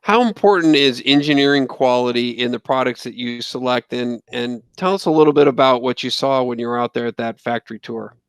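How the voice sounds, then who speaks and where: A man's voice: mid-range voice, a little fast, hardly any pauses, smooth, no echo. An American man hosting an interview, introducing his guest and asking questions. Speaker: interviewer, trade magazine host.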